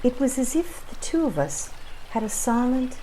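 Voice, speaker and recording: A woman playing a reflective, awestruck traveler who narrates her story in a lyrical, measured, solemn way over background noise. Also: fairly high pitch, sing-song, dark tone, poor audio. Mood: awed, intense. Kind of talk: dramatic first-person story narration.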